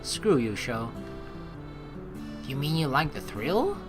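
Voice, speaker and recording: A young man narrates a video game playthrough and reacts casually as he goes. His delivery is expressive and slangy, with lively swings in pitch. Clear game music sits behind his voice. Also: slow. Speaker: let's play host. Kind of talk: game playthrough, reading dialogue aloud with casual commentary.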